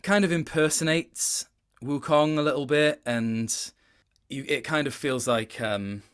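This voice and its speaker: A man's voice: on the low side, clean tone. A man chatting casually as an interview guest, reflective and hesitant as he thinks aloud. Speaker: guest, game voice actor.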